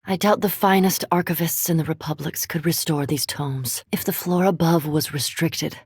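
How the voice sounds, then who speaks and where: A woman's voice: low-pitched, moderate pace, husky, no room sound. An actress voicing an erudite, coolly curious female vampire scholar in a fantasy game, speaking formally and precisely with dry intellect. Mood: detached, clinical. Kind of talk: scripted fantasy game dialogue.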